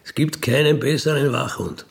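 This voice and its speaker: A man's voice: medium pitch, fairly even intonation, normal speed, creaky. A middle-aged man with an Austrian accent tells his own story casually, in a matter-of-fact, unapologetic, colloquial way. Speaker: former burglar telling his life story. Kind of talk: casual first-person storytelling in a radio feature.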